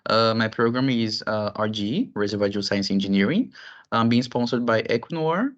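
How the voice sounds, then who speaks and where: Halting man from Brazil on a call. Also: lowish voice, dull audio. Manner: halting, with fillers. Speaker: student panelist. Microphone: video call.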